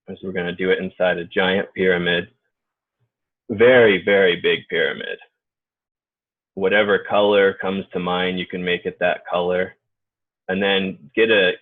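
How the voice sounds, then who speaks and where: A man leading an unscripted guided group meditation in an informal, halting way. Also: sits low. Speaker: guided meditation leader and healer. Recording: muffled phone-like narrow-band audio.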